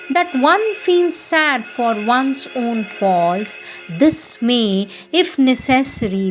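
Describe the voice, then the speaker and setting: A woman reads devotional letters aloud in a solemn, carefully measured way. Her voice sits a little high and she takes her time over every phrase. Soft music plays faintly behind her. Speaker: reader. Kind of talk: devotional reading of spiritual letters.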